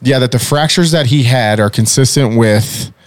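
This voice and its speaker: A man in his forties hosting a casual podcast, talking loudly and energetically, joking and using fillers. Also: mid-range voice, normal speed, no room sound, clean studio sound. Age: 40s. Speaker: podcast host. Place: podcast studio. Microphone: studio mic with headphones.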